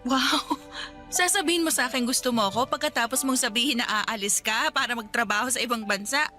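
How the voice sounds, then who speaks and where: A woman's voice: on the high side, a little fast, bright, forceful tone, soft background music. An actress with a Filipino accent playing a guarded, anxious young woman in love in a Tagalog radio romance drama. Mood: exasperated.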